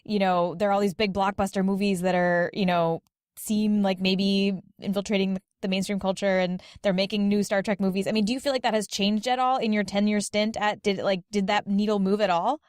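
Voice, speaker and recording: A woman's voice: mid-range voice, flat delivery, a little fast, a little mellow, smooth, no echo, clean studio sound. A woman chatting casually as a guest on a podcast. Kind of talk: casual chat.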